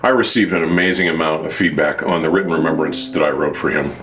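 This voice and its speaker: A man hosting an audio review, speaking to camera in formal, wordy, measured phrasing as if from prepared notes. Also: a bass voice, steady tone, background noise. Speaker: audio reviewer and show host. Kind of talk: semi-scripted product review monologue to camera.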